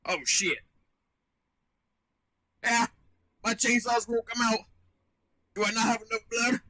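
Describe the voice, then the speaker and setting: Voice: mid-range voice, steady tone, slow, pushed and bright, low-quality recording. A performer in a live amateur fandub voicing a crude, hot-headed teenage anime boy in a brash manner. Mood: dismayed.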